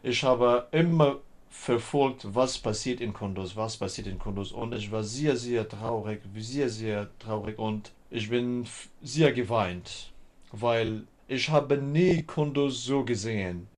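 A man in his twenties from Afghanistan, speaking with an Afghan accent in an interview, halting and often repeating words. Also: medium pitch. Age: 20s. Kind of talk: personal interview testimony.

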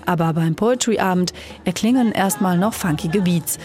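A woman narrating a scripted radio news report in a calm, even way. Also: on the low side, normal speed.

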